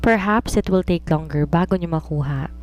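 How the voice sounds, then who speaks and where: A woman's voice: on the low side, moderate pace, lightly acted. Story role: snobbish gal pal, amused and dismissive, teasing delivery , done by a female narrator. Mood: amused, mocking. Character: amused, teasing, snobbish young woman friend.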